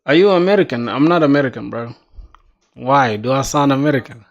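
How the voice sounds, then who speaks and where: A man's voice: medium pitch, animated, brisk, highs cut off. A young man from South Sudan, a self-taught programmer, thinking aloud while he codes, reading documentation and talking casually. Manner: casual, musing, reads docs aloud, says "bro".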